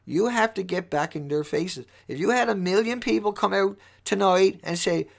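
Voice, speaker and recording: Male livestream host, fairly high squeaky voice with heavy fry. Impassioned, unscripted monologue, urgent and repetitive for emphasis. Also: normal speed. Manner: urgent, emphatic, repeating phrases for stress.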